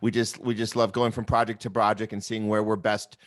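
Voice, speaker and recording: A man with a fairly low voice speaks casually about himself on a video call. His phrasing is hesitant, starting and stopping as he goes. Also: flat delivery, a little fast. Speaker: actor and filmmaker. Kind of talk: casual self-introduction.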